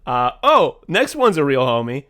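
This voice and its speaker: Rambling, laughing young podcast host. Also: male voice, mid-range voice, sing-song, moderate pace, a bit bright, clear, resonant tone. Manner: laughing, loose and rambling, swears freely. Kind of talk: casual podcast chat.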